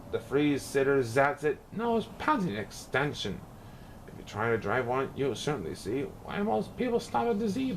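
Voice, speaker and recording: A man reading a rhyming children's story aloud in an expressive, playful, sing-song way. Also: medium pitch, a little hiss or hum, low-quality recording. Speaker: picture book reader.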